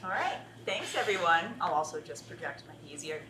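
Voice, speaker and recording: A woman's voice: low-pitched, lively intonation, a little fast. A woman moderating a panel discussion, guiding speakers with direct questions. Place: event hall with audience. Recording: echoey hall, distant mic, faint hiss.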